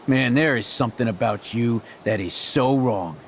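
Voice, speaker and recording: Actor as a gruff, volatile American man, creaky voice. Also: mid-range voice, moderate pace, a little hiss or hum, natural voice. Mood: furious. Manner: gruff, confrontational, foul-mouthed. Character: volatile, defensive man with a rescued dog. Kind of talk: dramatic video game voice acting.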